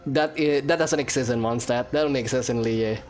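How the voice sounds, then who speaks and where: Low-voiced woman joking over gameplay. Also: fairly quick. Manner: joking, sarcastic asides. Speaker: gaming channel host. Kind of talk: casual let's-play commentary. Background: game music.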